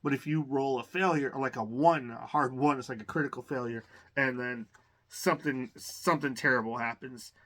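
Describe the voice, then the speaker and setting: A man with a bright, clear-edged voice at an average pitch talks casually through an unboxing. He rambles and hesitates as he thinks aloud, sounding like someone slightly under the weather. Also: normal speed. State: under the weather, occasional cough. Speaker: host of a collector's unboxing channel.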